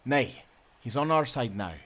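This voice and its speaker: An actor playing a confident, loyal Scottish sailor who talks in jaunty nautical dialect in an audio adventure. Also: male voice, mid-range voice, lively intonation, unhurried, a bit bright. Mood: earnest, planning. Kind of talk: cinematic audio adventure acting.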